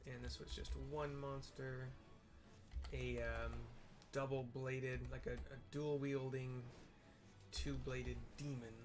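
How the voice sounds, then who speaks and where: A man giving casual, wry commentary as he plays a video game, describing the action in halting bits. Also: mid-range voice, level tone. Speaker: video game streamer narrating play. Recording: music behind the voice. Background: game music.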